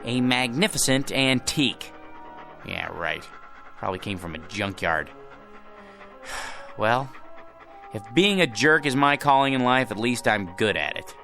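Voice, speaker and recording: A man's voice: mid-range voice, animated, measured pace, gravelly, long pauses, sharp tone, exaggerated voice. Meek, flustered ordinary man. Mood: glum, self-mocking. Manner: hesitant, stammering when flustered. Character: meek, self-deprecating ordinary man, bewildered lamp owner. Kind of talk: radio drama acting. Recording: music under the voice.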